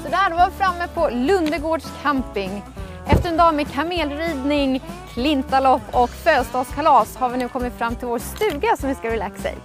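Cheerful high-voiced young woman reporter. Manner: cheerful, chatty interviewer. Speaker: TV travel reporter. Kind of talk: upbeat on-location reporting. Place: outdoors at a summer campsite. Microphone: handheld fuzzy mic. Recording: loud background music. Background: loud music.

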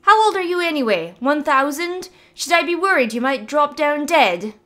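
A woman voicing a mischievous, bouncy young female druid in a storybook reading, talking high, loud and excitably. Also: normal speed. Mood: mocking.

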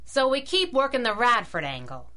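A woman's voice: a little high, wildly swooping pitch, normal speed, pushed and bright, heavily acted. A woman playing a plucky, sassy crime-fighting sidekick in a pulp radio drama, with wry, slangy, wisecracking delivery. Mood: matter-of-fact. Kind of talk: 1930s-style pulp radio drama acting.

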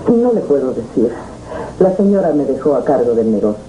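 An actress playing a composed, formal, coolly polite middle-aged manageress in a 1970s telenovela. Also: female voice, very deep voice, big pitch swings. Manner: formal, measured. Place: indoor studio set. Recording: muffled, noisy old TV tape.

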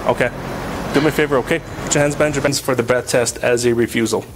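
A male officer explains each step of a sobriety test in a firm, methodical way. He is recorded on a body camera inside a large truck garage. A steady hum of machinery and air sits behind him. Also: medium pitch, fast. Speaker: police officer. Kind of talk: field sobriety test instructions.

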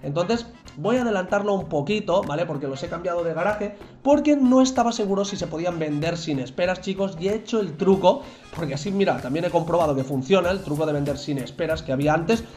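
A man's voice: sits high, animated, fast, a little mellow, soft background music. A young man from narrating a game tutorial over gameplay, chatty and constantly checking in with viewers. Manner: chatty, repeats "¿vale?" and "chicos" constantly. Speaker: gaming youtuber.

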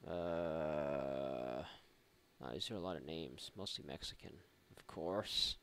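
Low creaky male gaming commentator. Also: slow pace, fairly bright tone, a little hiss or hum. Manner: irreverent, mocking, sweary, thinking aloud. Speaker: gaming YouTuber. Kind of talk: casual let's play commentary.

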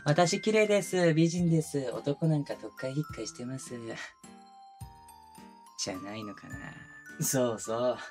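A man plays a cruel twin detective in a binaural situation drama. He needles his suspect in a drawling, mocking tone at a middling male pitch. The voice sits close to the mic over background music. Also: normal speed, a little mellow, lightly acted. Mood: teasing, admiring. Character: sadistic male detective, one of twins, taunting a suspect. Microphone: close binaural microphone.

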